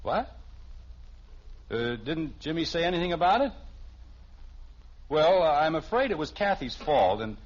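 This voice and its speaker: A man's voice: on the high side, very animated, a little slow, pauses often. An actor playing an exasperated middle-aged suburban father with an American accent, flustered and wry, in 1950s radio sitcom style. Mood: flustered, placating. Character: exasperated middle-class suburban father, easily put upon. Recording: dull, hissy old radio recording.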